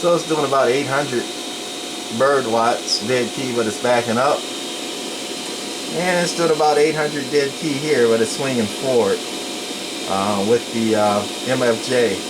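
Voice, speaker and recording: A man's voice: medium pitch, a little slow. A man giving a casual, plain-spoken hands-on equipment demonstration, narrating as he works. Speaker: radio hobbyist presenter. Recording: noisy room with steady equipment hum.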